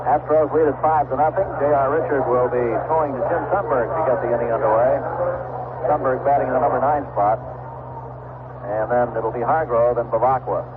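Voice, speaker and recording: A man's voice: mid-range voice, a little fast. A man calling baseball play-by-play live on a noisy, tinny 1970s radio broadcast. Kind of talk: live radio sports commentary. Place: ballpark broadcast booth.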